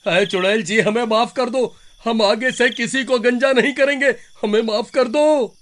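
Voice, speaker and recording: A man's voice: extremely high pitch, normal speed, fairly bright tone, a little hiss or hum. A man playing a gullible, cowardly village sidekick in a cartoon horror story, exclaiming and pleading. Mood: begging.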